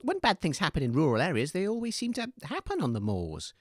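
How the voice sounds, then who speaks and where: A woman's voice: sits low, wildly swooping pitch, fast talker, no room sound, clean studio sound, exaggerated voice. Woman as wildly swooping, flustered radio host. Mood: cheerfully flustered. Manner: hesitant, fussy, reproachful. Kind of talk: scripted comedy radio banter.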